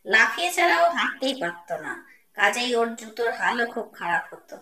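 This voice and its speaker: A woman telling a scripted cartoon story as its narrator. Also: on the high side. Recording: noisy, roomy, distant microphone.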